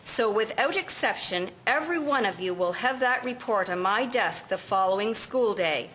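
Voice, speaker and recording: Woman acting strict schoolteacher, bright crisp edge. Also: medium pitch, lively intonation, a little hiss or hum, lightly acted, low-quality recording. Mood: stern. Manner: firm, measured, formal. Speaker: actor in an amateur history drama. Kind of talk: scripted amateur audio drama.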